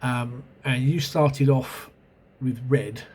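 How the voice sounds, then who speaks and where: A man's voice: mid-range voice, fairly even intonation, slow, darkish tone, a little hiss or hum. Congested man rambling through a game review. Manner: rambling, hesitant, many ums and self-corrections. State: congested with a cold and sore throat. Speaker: channel host reviewing role-playing games. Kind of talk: casual spoken video review. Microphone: camera mic.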